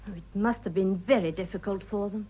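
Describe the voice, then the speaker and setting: A woman's voice: mid-range voice, fairly quick, dull audio, close to an everyday voice. An actress playing an inquisitive, polite lady houseguest with an upper-class English accent in a radio drama. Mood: curious, sympathetic. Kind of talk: stage comedy of manners radio acting.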